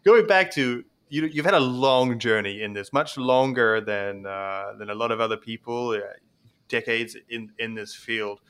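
A man's voice: mid-range voice, fairly bright tone. A young man hosting an interview, asking questions in a chatty, self-deprecating way. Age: young adult. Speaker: host. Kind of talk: casual interview chat.

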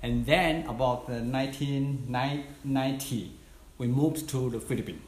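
An older man from Taiwan whose first language is Taiwanese, telling his story in halting English with many restarts as an interview guest. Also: mid-range voice, reverberant, crowd noise. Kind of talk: casual conversational storytelling.

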